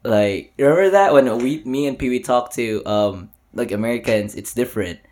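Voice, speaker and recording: A man hosting a casual podcast chat, relaxed and giggly, with quick short replies. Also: medium pitch. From Philippines. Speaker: podcast host.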